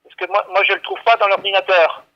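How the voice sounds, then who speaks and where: A man's voice: high-pitched, steady tone, fairly quick. A man working at a vet clinic on a phone call, hesitant and stammering, repeating himself as he patiently explains. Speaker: veterinary clinic staff taking a call. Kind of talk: unscripted phone conversation. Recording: dull, lo-fi phone line.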